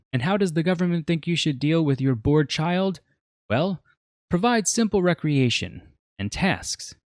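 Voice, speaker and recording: A man's voice: mid-range voice, dry recording, studio quality. A man in his forties narrating a scripted video review as its host, explaining in an earnest, emphatic way. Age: 40s.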